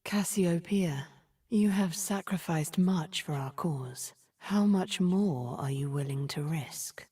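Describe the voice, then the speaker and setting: A woman voicing a cunning, imperious game sorceress in a measured, theatrical, condescending and breathy manner. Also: fairly low pitch, dark tone. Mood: cool, probing. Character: cunning, imperious sorceress leading a secret cabal. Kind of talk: video game character voice lines.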